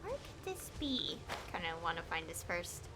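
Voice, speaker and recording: A woman's voice: fairly low pitch, big pitch swings, normal speed. A young woman chatting casually as she streams a game, rambling and hesitantly thinking aloud. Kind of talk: casual live gameplay chat. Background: game music.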